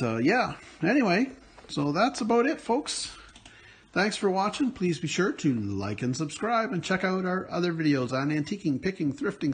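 A man's voice: a little high, a little hiss or hum. A man casually showing off his auction finds, thinking aloud with fillers and musing as he goes. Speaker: picker and vlogger. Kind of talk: casual unboxing commentary. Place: indoors at a table, off camera.

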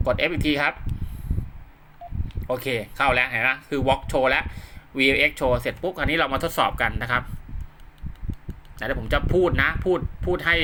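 A man gives a hands-on tutorial over clearly audible noise and clicks. His voice sits at a medium pitch. He is conversational and polite, circling back to repeat each step. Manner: conversational, repeats himself often, polite particles. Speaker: host of radio equipment tutorial. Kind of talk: casual hands-on tutorial, talking viewers through steps. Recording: clearly noisy home-made recording with clicks.

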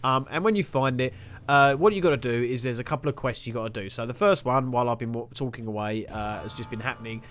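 A man's voice: mid-range voice, quick pace, a bit bright, soft background music. A young man giving a rambling, jokey walkthrough of a video game with self-mocking asides. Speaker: gaming video host. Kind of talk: casual gameplay walkthrough commentary.

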